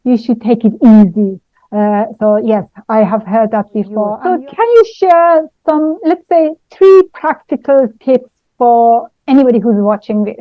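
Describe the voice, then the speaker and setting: A woman's voice: fairly high pitch, lively intonation, measured pace, no room sound. Woman host on a thin, phone-like line. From Iran. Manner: hesitant, searching for words. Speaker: interview host. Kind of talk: conversational interview questions. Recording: thin phone-like dry audio.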